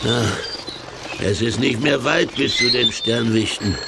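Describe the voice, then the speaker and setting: A man's voice: medium pitch, a little slow, very noisy, lightly acted. A man playing a sly, bossy villain's sidekick who coaxes along in a children's audio play. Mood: coaxing. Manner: coaxing, chuckling, bossy.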